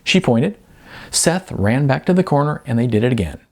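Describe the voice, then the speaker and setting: Male audiobook narrator, scripted storytelling, animated intonation, raspy creak, mid pitch. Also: moderate pace.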